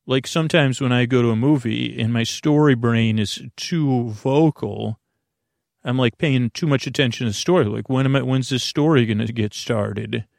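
A man hosting a sleep podcast, talking in a meandering, halting way, often restarting sentences and answering imagined objections. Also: mid-range voice, vocal fry, darkish tone, dry recording, clean studio sound. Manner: voices imagined listener objections and answers them. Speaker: podcast host. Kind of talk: rambling, unscripted sleep podcast introduction.

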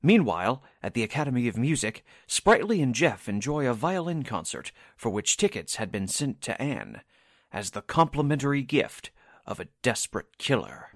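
A man narrates a radio drama in 1940s announcer style. The pitch is medium but moves a lot, and the sound is close and dry. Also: big pitch swings, moderate pace, no echo.

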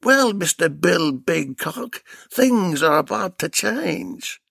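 A man's voice: sits high, lively intonation, slow, gravelly, a bit bright, breathy edge, no room sound, clean studio sound, full character voice. A man voicing a scheming, cackling Australian woman who taunts her captive, with an Australian accent, in a read-aloud story. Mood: taunting. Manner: taunting, mocking.

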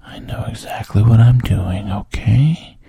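A man voicing a soft-spoken, coaxing adult man in a breathy whisper. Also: lowish voice, a little slow, no room sound, exaggerated voice. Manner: hushed, coaxing, soothing.

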